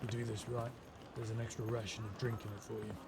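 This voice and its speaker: A man's voice: fairly low pitch, little pitch movement, fast, gravelly, very noisy. A man playing a roguish, mercenary skyship captain with wry, courteous, old-fashioned speech in an immersive audio roleplay. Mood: gruff, businesslike. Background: ambient sound effects.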